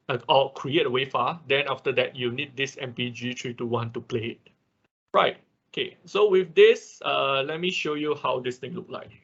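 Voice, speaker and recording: A man's voice: medium pitch, sharp tone, slightly rough. A man who is a non-native English speaker, teaching a live online programming tutorial step by step and often checking in with okay and right. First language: not English. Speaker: instructor of an online robotics programming class. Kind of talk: live tutorial with screen demonstration. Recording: dull, lo-fi online class audio.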